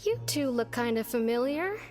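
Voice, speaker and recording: A woman's voice: fairly high pitch, very animated, normal speed, over music. A woman playing a friendly, streetwise member of a mutual aid group in a video game, easygoing and admiring in manner.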